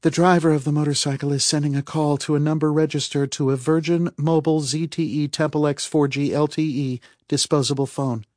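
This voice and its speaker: A man voicing a calm, formal female artificial intelligence in an audiobook, speaking in a measured, precise way. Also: fairly high pitch, dry recording, clean studio sound, pitched above the performer's own voice, close to an everyday voice. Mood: calm, clinical. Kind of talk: audiobook character voice.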